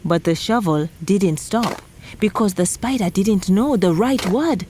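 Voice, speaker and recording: Animated woman storyteller for children. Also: medium pitch, unhurried, a little hiss or hum. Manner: theatrical, addressing young listeners directly. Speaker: children's folktale podcast host and storyteller.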